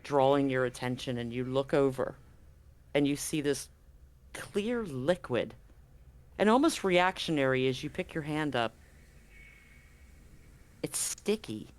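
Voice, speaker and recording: A game master narrating a tabletop horror game in a dramatic, suspenseful way, with deliberate pauses. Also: medium pitch, animated.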